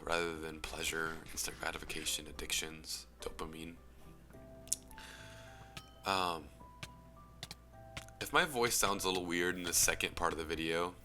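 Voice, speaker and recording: A young man with a very low voice speaks earnestly and reflectively, his phrases often trailing into soft, breathy whispers. Clear background music plays beneath him throughout. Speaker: YouTube commentator. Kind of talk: earnest personal commentary. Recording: music playing under the voice.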